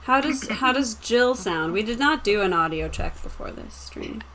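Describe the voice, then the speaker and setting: A woman's voice: a little high, animated, moderate pace, a bit bright. Blunt, informal woman on a noisy livestream. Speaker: co-host of a roleplaying stream. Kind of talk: casual livestream chat. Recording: clearly noisy livestream audio.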